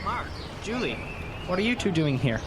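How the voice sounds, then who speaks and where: A man's voice: high-pitched, very animated, moderate pace. A man playing a casual, chummy small-town friend in a radio drama, speaking in a breezy conversational way. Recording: noisy street ambience in audio drama.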